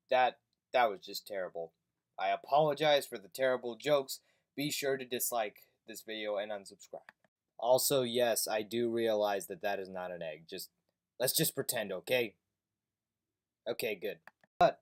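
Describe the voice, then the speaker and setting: A man's voice: mid-range voice, moderate pace. A man hosting a scripted comedic review countdown, sarcastic and self-deprecating in his delivery. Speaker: host of a video review countdown. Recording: amateur recording with light background music.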